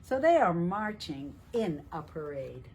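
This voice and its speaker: A woman reading a picture book aloud to young children in a deliberate, teacherly way, asking questions as she goes. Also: fairly low pitch, sing-song, husky. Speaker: children's storytime reader at a library.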